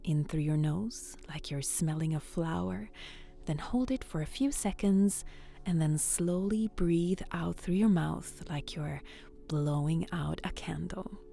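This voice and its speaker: A woman hosting a bedtime story, guiding relaxation in a soft, soothing, often whispered voice. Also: on the low side, unhurried, creaky, soft background music. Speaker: storyteller and host.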